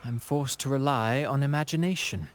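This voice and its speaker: An actor playing a courtly, theatrical playwright in a video game, speaking in ornate, pompous phrases with dramatic pauses. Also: male voice, mid-range voice, lightly acted. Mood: grandiose, musing. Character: theatrical, courtly, flowery male playwright with grand airs. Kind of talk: video game character acting.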